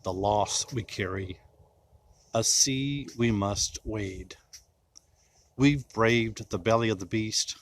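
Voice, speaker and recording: A man reciting poetry in a solemn style, with deliberate phrasing and dramatic pauses. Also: fairly low pitch, a little hiss or hum.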